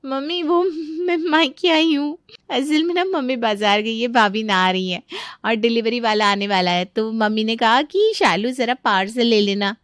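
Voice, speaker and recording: An actress playing a carefree young daughter-in-law, wheedling and childlike, in a comic family story. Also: female voice, a little high, moderate pace, a bit bright, clear, resonant tone, dry recording, clean studio sound, lightly acted. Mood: breezy excuses. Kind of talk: animated family comedy story acting.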